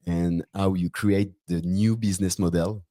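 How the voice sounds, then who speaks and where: A man hosting a podcast interview, speaking English with a French accent and often pausing to find his words. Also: low voice, steady tone, warm tone, dry recording, studio quality. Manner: hesitant, searching for English words. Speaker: podcast host. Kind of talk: interview intro and questions.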